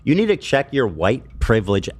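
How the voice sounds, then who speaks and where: Teasing man with a lively voice. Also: medium pitch, normal speed. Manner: mock-preachy, teasing. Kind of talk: joking commentary.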